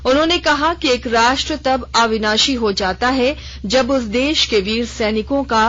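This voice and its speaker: A woman newsreader with a somewhat high voice who reads a bulletin in a formal, even, measured way. The sound is muffled and lo-fi, like an old radio broadcast. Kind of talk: read news bulletin.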